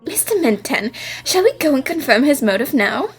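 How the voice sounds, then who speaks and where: A woman voices a young lady detective in a cartoon dub. She is high-pitched and swings expressively through her phrases, polite yet thoroughly sure of herself, with music playing underneath. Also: sing-song, normal speed. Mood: confident explaining. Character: confident, spirited young female detective solving mysteries. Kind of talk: cartoon fan dub acting.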